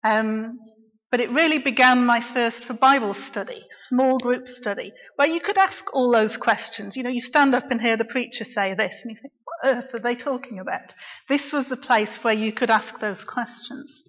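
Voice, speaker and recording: A middle-aged woman, a local preacher, giving a quiet, reflective personal testimony in church. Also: fairly high pitch, moderate pace. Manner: soft-spoken, reflective. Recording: dull, echoey church recording.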